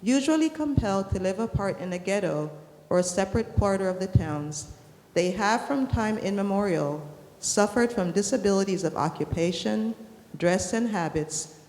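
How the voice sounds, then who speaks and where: A woman's voice: medium pitch, lively intonation, moderate pace, a little mellow. A woman reading aloud from a formal historical book as a participant in a class. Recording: echoey hall, long reverb.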